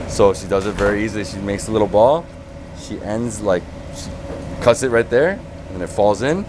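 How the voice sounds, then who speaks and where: A man's voice: fairly low pitch, normal speed. A man hosting a food vlog, chatting casually with cooks in a kitchen and asking lots of questions. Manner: curious, asks lots of questions. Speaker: travel food vlogger host. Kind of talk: casual on-location chat. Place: restaurant kitchen. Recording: noisy kitchen background.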